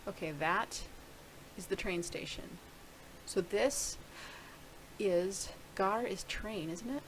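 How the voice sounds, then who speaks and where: A woman's voice: mid-range voice, slow, slight background noise, poor audio. A middle-aged woman casually talking through a screen-share video blog, rambling and thinking aloud with many restarts. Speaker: video blogger.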